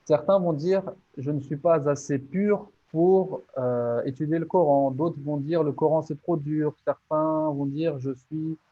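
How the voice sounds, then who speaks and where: A man's voice: fairly high pitch, steady tone, unhurried, few pauses, warm tone. Earnest motivating man, slightly muffled. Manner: earnest, motivating, explains step by step with many restarts. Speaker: Islamic teacher presenting his online course. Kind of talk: spoken course presentation.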